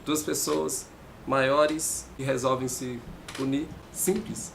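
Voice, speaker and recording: A man's voice: mid-range voice, fairly even intonation, takes it slowly, gravelly, fairly bright tone, slight background noise. A man in his twenties, a musician and clown, giving casual, emphatic interview answers. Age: 20s.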